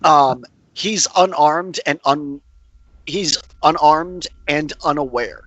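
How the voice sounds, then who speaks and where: A man's voice: on the high side, takes it slowly, fairly bright tone, rough recording. A man casually advising on rules during a tabletop roleplaying game.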